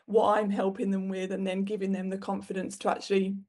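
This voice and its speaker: A woman's voice: mid-range voice, a little fast. A woman in her thirties from the UK with a British accent, a podcast guest telling her career story frankly, with plenty of fillers. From United Kingdom. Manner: frank and animated, with frequent fillers. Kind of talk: candid conversational storytelling.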